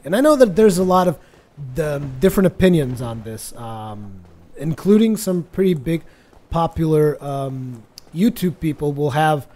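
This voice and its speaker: Higher-pitched man, mellow, chatty. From Portugal. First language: Portuguese. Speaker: gaming video host. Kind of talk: casual chatty gameplay commentary.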